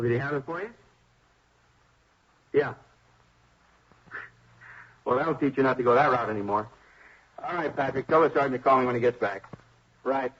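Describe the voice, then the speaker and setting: An actor playing a chatty police sergeant in a 1950s radio drama, with an offhand, naturalistic way of talking. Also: male voice, mid-range voice, fairly bright tone, a little hiss or hum, natural voice. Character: chatty male police sergeant swapping station-house stories. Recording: dull 1950s radio recording.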